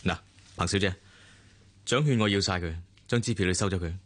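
An actor playing a suave, courteous stock trader in a Cantonese radio drama, with a Hong Kong accent and polite manner. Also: male voice, sits low, a little fast.

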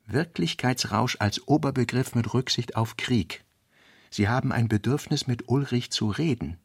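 A man reading an author's fragmentary working notes for a radio play, slowly and deliberately. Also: medium pitch, expressive, vocal fry, husky, no room sound, clean studio sound. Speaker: reader.

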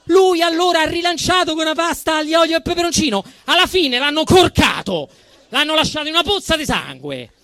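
Animated, high-pitched Roman man performing comic monologue. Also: fairly quick, no echo. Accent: Roman Italian. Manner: indignant, emphatic, mock-solemn delivery with dramatic swings. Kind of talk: theatrical satirical monologue, storytelling.

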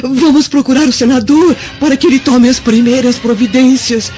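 A worried, protective old maidservant played by a woman speaks formally and solemnly in a radio drama. The recording is dull, with music clearly behind her. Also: sits high, steady tone, normal speed, mellow, natural voice. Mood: urgent, composed. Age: older. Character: elderly loyal maidservant, motherly, worried, composed.

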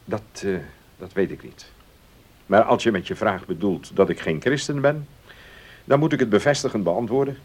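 An actor playing an opinionated gentleman baron in a radio play, arguing earnestly in a declamatory way. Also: lowish voice, close to an everyday voice. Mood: earnest, lecturing. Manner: declamatory, deliberate argument. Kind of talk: Dutch radio play acting.

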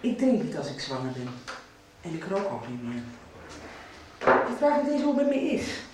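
A woman's voice: deep voice, very animated, moderate pace. An actress playing a bitter, reproachful woman in a radio soap, speaking wryly. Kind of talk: 1990s Dutch radio soap acting. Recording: very echoey room, distant, noisy.

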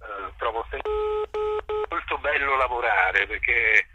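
A man giving reflective interview answers as a theatre director, articulate and formal, choosing words deliberately. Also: mid-range voice, dull audio, background noise.

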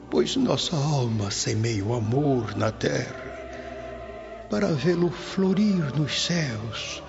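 Gravelly old brazilian grandpa ghost, solemn radio play voice, swooping slowly over music. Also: male voice, medium pitch, full character voice. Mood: tender, consoling. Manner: solemn, preaching consolation in measured phrases. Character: luminous spirit of an old grandfather, tender and solemn. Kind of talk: Brazilian radio drama acting. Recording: dull lo-fi audio under music.

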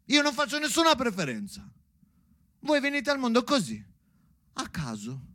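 A man's voice: very high-pitched, wildly swooping pitch, normal speed, pushed and bright, dry recording, voice pushed up, exaggerated voice. A man playing an exasperated, bossy God on stage, emphatic and repetitive, stammering when riled. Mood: firm. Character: exasperated, bossy God assigning births to unborn children. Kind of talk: theatrical monologue with dialogue.

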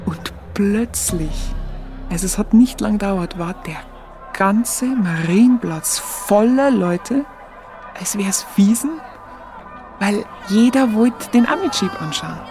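An actress playing an earthy woman, recalling wartime Munich in halting, colloquial radio play speech. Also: medium pitch, over music, close to an everyday voice. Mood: excited. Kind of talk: naturalistic radio play reminiscence.

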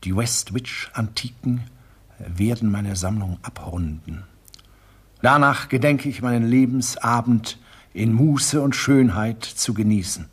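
A suave murderous collector in a radio drama. The voice is very husky and sits at a middle pitch. He speaks in a smooth, mocking, quietly menacing way. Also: unhurried. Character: suave murderous male art collector, villain.

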